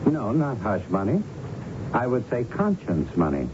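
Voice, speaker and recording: An actor playing an earnest police lieutenant in a radio drama, plain-spoken and probing. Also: male voice, medium pitch, huge pitch swings, unhurried. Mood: wry, persuading. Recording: muffled, noisy old radio broadcast.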